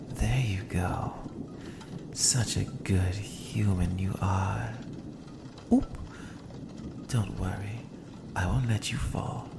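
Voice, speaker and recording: A smug, predatory vampire, acted by a man in an ASMR roleplay. The voice is fairly low and crackles with fry, drawing words out slowly. He teases and coaxes his prey with hypnotic menace. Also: heavily acted. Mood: hypnotic, soothing. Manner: slow, teasing, menacingly coaxing, sometimes whispering.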